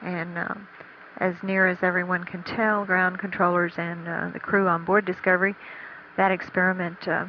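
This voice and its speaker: A woman giving unscripted, matter-of-fact status commentary from mission control in a halting way. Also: lowish voice, little pitch movement. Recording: dull archival radio feed with faint noise.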